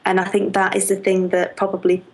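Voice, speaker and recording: A woman with a Lancashire accent, a knitting podcaster chatting as an interview guest, blunt and direct and quick to laugh. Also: mid-range voice, monotone. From Lancashire, England. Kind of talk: casual interview chat.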